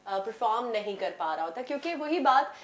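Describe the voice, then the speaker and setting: A woman's voice: a little high, sing-song, brisk. A Pakistani woman speaking as a guest in a casual talk show conversation, slipping English phrases into her talk. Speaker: guest, voice-over artist.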